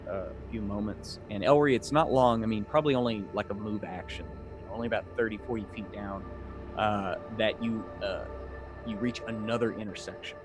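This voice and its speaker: Halting male game master over music. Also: fairly low pitch. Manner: halting, explanatory, conversational. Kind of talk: narrating a tabletop game, improvised.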